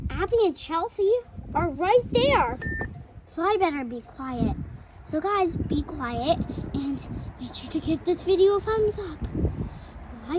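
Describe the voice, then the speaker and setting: A child's very high, swooping voice narrates improvised doll play as host of the video. The recording is very noisy, with clicks and rustling from an outdoor yard. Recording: loud noise with clicks and rustling.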